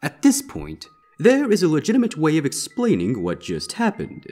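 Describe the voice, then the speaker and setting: An ominous male stage magician, acted out by a man for a fan fiction story. The voice is husky and higher than average, swinging dramatically in pitch with deliberate, showy patter. Faint music plays underneath. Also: huge pitch swings, mellow. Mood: explaining, ominous. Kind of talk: character voice in audiobook-style fan fiction reading.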